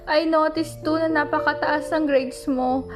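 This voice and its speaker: A high-voiced Filipino mother, acted by a woman, proud and protective of her grown child. She speaks tenderly and pryingly in an amateur radio play, over prominent background music. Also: fairly even intonation, unhurried. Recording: music playing behind the voice.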